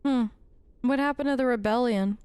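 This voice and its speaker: Wry, higher-voiced woman playing a game. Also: normal speed, darkish tone, clear, resonant tone, dry recording. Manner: wry, conversational, speaking in character to the game. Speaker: let's play host. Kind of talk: casual role-play game commentary.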